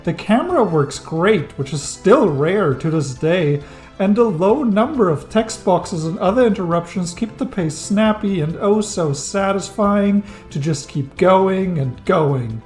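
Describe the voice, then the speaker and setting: A man's voice: high voice, lively intonation, measured pace, darkish tone, faint music behind. A thirty-year-old man, a non-native English speaker, narrating a scripted game retrospective in a wry, enthusiastic way with dry jokes. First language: not English. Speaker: game reviewer. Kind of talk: scripted video-essay narration.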